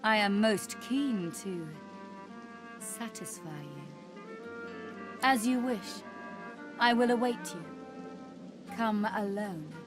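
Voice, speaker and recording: A woman's voice: mid-range voice, expressive, music in the background. A woman voicing a seductive, menacing were-spider in woman's form, speaking in a sultry, formal manner for a fantasy game. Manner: slow, sultry, formal phrasing.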